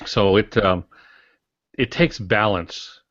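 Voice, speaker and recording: An older man speaks in a low voice as a podcast co-host. He gives measured, instructive advice with anecdotes and dry humour. The sound is dry and a bit lo-fi. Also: fairly bright tone, no room sound. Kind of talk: conversational writing advice. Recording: dry, slightly muffled lo-fi audio.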